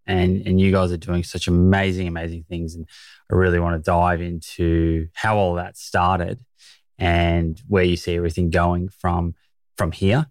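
A man's voice: sits low, flat delivery, moderate pace, no room sound. A man hosting a podcast, asking questions with enthusiasm and frequent stumbling restarts. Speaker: podcast host. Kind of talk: interview questions.

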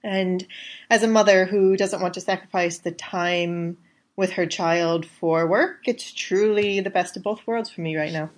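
A woman in her thirties from Chicago, a guest giving calm, measured interview answers. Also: fairly low pitch. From Chicago, USA. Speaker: guest, accountant and yoga teacher.

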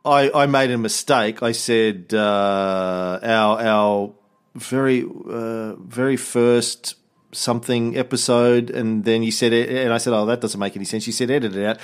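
A man's voice: mid-range voice, steady tone, measured pace, clear, resonant tone. A man hosting a podcast in casual chat, joking around and swearing freely. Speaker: podcast host.

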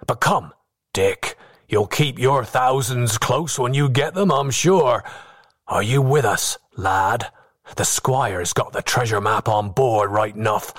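A man voicing a scheming old pirate quartermaster, boastful and sly, in rough sailor's dialect with drawn-out, persuasive phrasing. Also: medium pitch.

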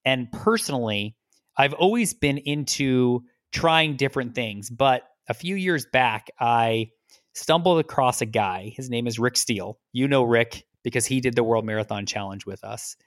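Middle-aged man from the US, mid pitch, rambling guest storyteller, dry close sound. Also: moderate pace, dry recording. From United States. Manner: relaxed, rambling, repeats words. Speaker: guest, lawyer. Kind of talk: casual interview storytelling.

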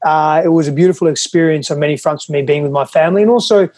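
A man's voice: fairly high pitch, fast, a little mellow, no room sound. A man from Western Australia, whose was Greek, speaking earnestly and reflectively as a podcast guest, often restarting his phrases. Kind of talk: reflective interview talk.

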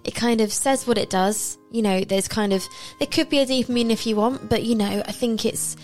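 A woman's voice: a little high, a little fast, darkish tone. A chatty woman hosting a radio show, her voice a little worn from overuse. She laughs often and keeps things light, with faint music playing behind her. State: slightly losing her voice. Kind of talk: casual radio interview.

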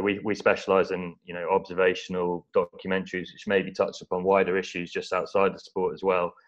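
An English man from East Anglia giving measured, modest, somewhat hesitant conversational answers as an interview guest. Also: low-pitched. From East Anglia, England. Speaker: interview guest, documentary film-maker. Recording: dull, lo-fi audio.

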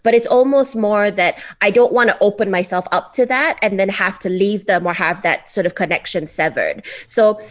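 A woman speaks as a counseling guest, her voice sitting at an ordinary middle pitch. She explains things patiently and thoughtfully, leaning on fillers like "um" and "you know" as she works through each point. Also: moderate pace, few pauses. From Malaysia. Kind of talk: conversational interview answers.

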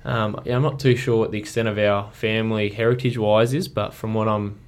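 Young man from Belarus, lowish voice, relaxed and reflective, a few ums. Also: fairly quick. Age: young adult. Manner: easygoing, thoughtful, with frequent ums. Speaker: guest athlete. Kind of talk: casual chat.

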